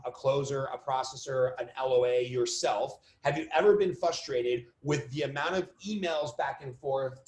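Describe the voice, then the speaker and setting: A man's voice: fairly high pitch, a little mellow. A man leading an informal motivational coaching call for an online audience, conversational and emphatic, repeating key phrases for stress. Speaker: business coach hosting a mortgage coaching call. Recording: roomy, echoing sound.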